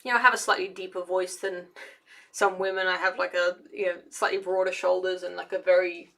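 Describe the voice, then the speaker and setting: A young woman talking casually to camera, with a gravelly edge and a fairly flat, level delivery. She rambles and hesitates, restarting her sentences and leaning on fillers as she works through her thoughts. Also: on the low side, a little fast. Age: young adult. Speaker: engineer and video essayist.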